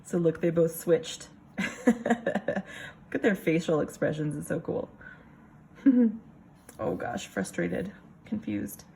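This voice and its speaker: A woman reading a picture book aloud like a kindergarten teacher, expressive, pausing for casual asides to the children. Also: on the low side, vocal fry, a little hiss or hum.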